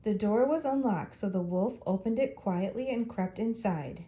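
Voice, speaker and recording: Very mellow older woman reading a story. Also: medium pitch, moderate pace. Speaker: storyteller grandmother reading to children. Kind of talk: children's story read aloud.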